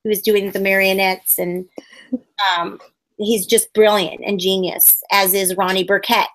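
A lively woman in her forties chats over a webcam from a room at home, recounting her career as a puppeteer. She slips into bursts of high squeaky voices whenever she acts out what people said. Her voice sits at an ordinary middle pitch. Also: unhurried, poor audio. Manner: animated, acts out quotes with sudden high squeals. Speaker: puppeteer guest. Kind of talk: casual storytelling interview. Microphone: webcam on video call.

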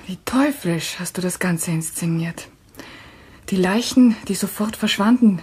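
An actress playing a frightened, accusing wife in a German thriller radio play, with dramatic delivery. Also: female voice, on the low side, measured pace, darkish tone, natural voice. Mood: bitter, shaken. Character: frightened, accusing wife, victim of a cruel staged nightmare.

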